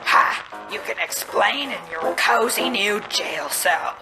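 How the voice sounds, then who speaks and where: Gloating bully cop for a detective game: heavy gravel, slow taunting drawl, loud music underneath. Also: female voice, mid-range voice, lively intonation, low-quality recording. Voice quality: gravelly, creaky. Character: gloating, contemptuous female police officer, bullying jailer. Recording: music behind the voice.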